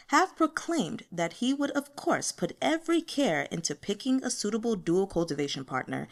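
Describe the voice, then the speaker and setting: A woman reading a story aloud as narrator, with an informal, playful and expressive delivery. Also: medium pitch. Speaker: audiobook reader. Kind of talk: animated amateur fiction narration with character voices.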